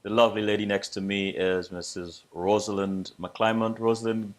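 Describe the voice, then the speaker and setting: A man's voice: on the low side, flat delivery, moderate pace. A man hosting an interview show, speaking in an effusive way that tends to repeat himself. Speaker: host.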